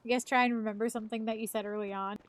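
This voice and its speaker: A woman's voice: fairly high pitch, fairly even intonation, racing. A woman in her thirties, a live streamer chatting casually, rambling and swearing freely. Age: 30s. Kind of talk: casual chat.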